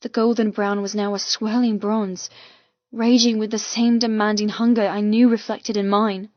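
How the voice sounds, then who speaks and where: A woman reading a first-person romance audiobook with intense, expressive, dramatic delivery. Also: mid-range voice, moderate pace, no echo, dull audio. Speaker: audiobook narrator.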